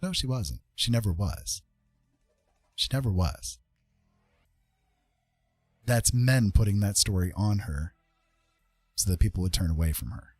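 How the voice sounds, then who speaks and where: A man's voice: on the low side, a little slow, pauses often, darkish tone, husky, no room sound. A man hosting a casual livestream, talking in an earnest, emphatic monologue and repeating phrases for stress. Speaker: livestream host.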